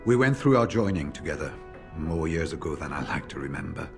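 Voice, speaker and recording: A seasoned warden warrior in a fantasy role-playing game. His voice is deep and he speaks plainly, in a measured storytelling way. Soft music plays underneath. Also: animated, vocal fry, full character voice. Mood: reflective. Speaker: game voice actor. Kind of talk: fantasy RPG dialogue.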